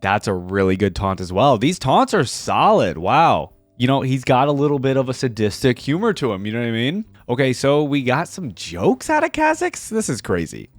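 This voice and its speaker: A man's voice: medium pitch, very animated, moderate pace, few pauses, no room sound, faint music behind. A young man hosting a reaction video, giving casual commentary in an informal, slangy way.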